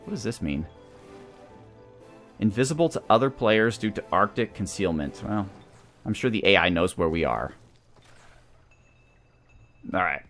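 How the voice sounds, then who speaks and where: A man's voice: lowish voice, lively intonation, a little slow, long pauses, fairly bright tone. A man hosting a gaming channel, talking through a strategy game and thinking aloud as he goes. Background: video game music.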